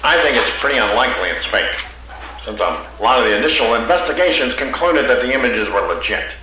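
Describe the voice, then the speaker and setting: A man's voice: mid-range voice, expressive, fairly quick, heavy reverb, background noise, natural voice. A man playing an earnest, matter-of-fact believer arguing his case in an audio drama. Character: earnest, reasoning male UFO believer.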